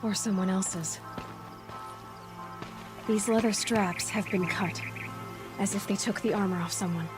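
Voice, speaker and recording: An actress playing a sharp, observant young huntress in a video game, reasoning in a calm, matter-of-fact way. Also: female voice, medium pitch, a little slow, long pauses, natural voice. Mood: analytical. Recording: dramatic music and game sounds behind.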